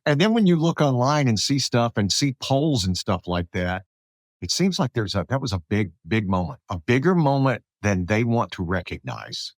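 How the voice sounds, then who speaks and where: A man hosting a conversational political interview, speaking haltingly and repeating words as he searches for phrasing. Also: mid-range voice, animated, no echo, studio quality. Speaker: podcast host, interviewer.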